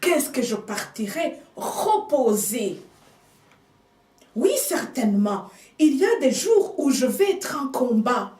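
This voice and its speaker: Female preacher, non-native speaker, measured emphatic sermon, distant echoey pickup. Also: fairly high pitch, big pitch swings. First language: another language than French. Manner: slow, emphatic preaching with hushed asides. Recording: echoey room, speaker off mic.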